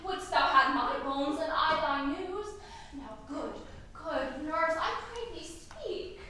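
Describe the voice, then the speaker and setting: Lovestruck, pleading young girl, played by a woman, high voice with lots of hall echo. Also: lively intonation, takes it slowly, bright, forceful tone, full character voice. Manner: eager, pleading, declaiming verse. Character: impatient young girl in love. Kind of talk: stage Shakespeare acting. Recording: echoey hall from far off.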